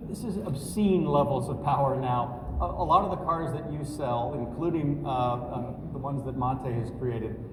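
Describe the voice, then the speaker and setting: A man's voice: mid-range voice, normal speed, hardly any pauses. A middle-aged man hosting an interview, asking long, friendly questions padded with "uh" and "um.". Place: large indoor auto show hall. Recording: echoey hall, distant mic, crowd noise.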